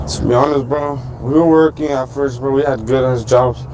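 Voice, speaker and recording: A man's voice: medium pitch, fairly even intonation, moderate pace, background noise. A nineteen-year-old man answering a street interview in casual, slangy talk.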